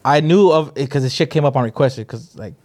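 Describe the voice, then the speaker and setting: A man's voice: mid-range voice, a little fast, a little mellow. A young man co-hosting a podcast, telling a story in laid-back slang-heavy casual chat. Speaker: podcast co-host.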